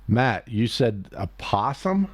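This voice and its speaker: A man's voice: medium pitch, big pitch swings, takes it slowly, no echo. A man hosting a casual voice-chat discussion, pressing the others with questions and cutting in to get at the point. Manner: probing, interrupts to press for answers. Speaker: host. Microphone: computer mic in a voice chat.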